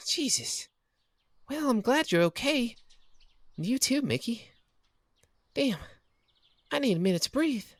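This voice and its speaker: A man voicing a loud, brash young woman who swears freely and talks with strong emphasis, as a storybook reader. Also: extremely high pitch, sing-song, measured pace, long pauses, dry recording, voice pushed up, full character voice. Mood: alarmed.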